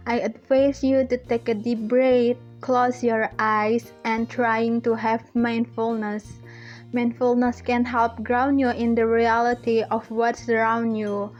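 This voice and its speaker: A young woman with an Indonesian accent giving a measured, rehearsed student presentation on a lo-fi recording. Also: fairly high pitch, little pitch movement, darkish tone, faint music behind. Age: young adult. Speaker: student panelist. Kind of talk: prepared presentation. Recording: dull lo-fi audio.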